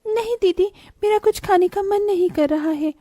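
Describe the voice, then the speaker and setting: A woman's voice: extremely high pitch, moderate pace, dark tone, no echo, studio quality, voice pushed up. A woman voicing a sweet, poor little orphan girl in a cartoon story, with a thin, plaintive, earnest voice. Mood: weak, distressed. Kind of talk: cartoon bedtime story voice acting.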